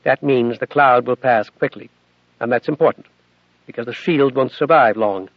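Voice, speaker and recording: Man doing a cold, flat scientist. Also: mid-range voice, moderate pace, no echo, close to an everyday voice. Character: cold, unemotional male scientist. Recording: dull lo-fi recording.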